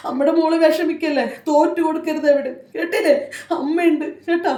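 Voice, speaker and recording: A woman's voice: high voice, fairly even intonation, brisk, dark tone, reverberant, far from the mic, natural voice. A woman playing a loving mother who consoles her daughter over the phone and urges her not to give up. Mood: consoling, fierce. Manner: emotional, consoling, fiercely encouraging. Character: loving middle-aged mother, fierce and protective.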